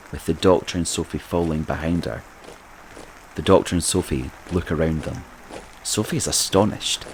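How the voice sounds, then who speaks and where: A man's voice: sits low, a little mellow, slight background noise, low-quality recording. A man reading scripted narration for an audio drama, describing the scene as narrator.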